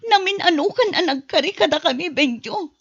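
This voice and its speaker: A weary young wife acted by a woman in a radio drama, with a very high, plaintive voice. She sounds fed up, on a dry, slightly dull recording. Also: lively intonation, fairly quick, creaky, no room sound, full character voice. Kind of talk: Ilocano radio drama acting. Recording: muffled dry close recording.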